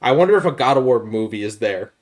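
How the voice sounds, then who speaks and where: A man's voice: mid-range voice, animated, fast talker. A man co-hosting a podcast in casual chat, talking in an informal, slangy way. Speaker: podcast host.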